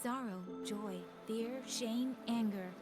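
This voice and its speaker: An actress playing an earnest, compassionate young heroine in a video game, with solemn, deliberate phrasing. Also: female voice, a little high. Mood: solemn encouragement. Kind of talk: dramatic video game voice acting. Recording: game music behind the voice.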